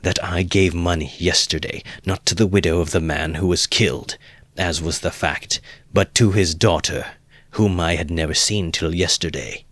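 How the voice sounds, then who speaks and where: A man's voice: sits low, fairly even intonation, moderate pace, a bit bright, no room sound. A man voicing a bitter young man who speaks sharply and curtly. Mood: cutting. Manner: cutting and curt.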